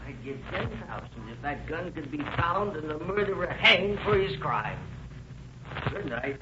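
Grieving husband: apologetic, nervous, too earnest, muffled vintage radio drama sound. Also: male voice, on the high side, big pitch swings, unhurried, noisy background. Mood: over-earnest. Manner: hesitant, over-earnest. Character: nervous, falsely apologetic male grieving husband, secretly guilty. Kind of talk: old-time radio crime drama acting.

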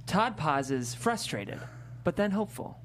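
A woman's voice: on the low side, big pitch swings, a little slow, slight background noise. A woman reading the stage directions of a comedy screenplay as a narrator.

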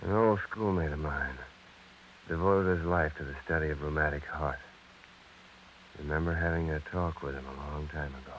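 An actor playing an earnest, probing young scientist and husband, in 1930s radio drama style. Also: male voice, very deep voice, normal speed. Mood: brooding. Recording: dull, hissy vintage radio recording.

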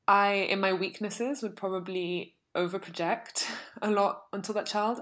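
Young woman, reflective casual chat. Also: fairly low pitch. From United Kingdom. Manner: reflective, filler-heavy with many likes. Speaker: podcast co-host. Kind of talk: casual podcast conversation.

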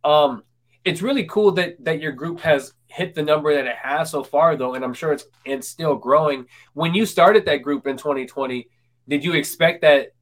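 Host, man in his twenties, a bit higher-pitched, hesitant, many fillers and restarts. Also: normal speed. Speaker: podcast host. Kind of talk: casual interview chat.

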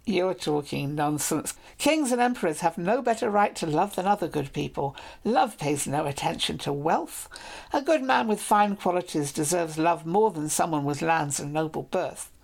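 A woman's voice: low-pitched, lively intonation, moderate pace, creaky, darkish tone, heavily acted. Woman voicing a clever noble lady. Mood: warm, persuasive. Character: young noble lady, proud, clever, teasing.

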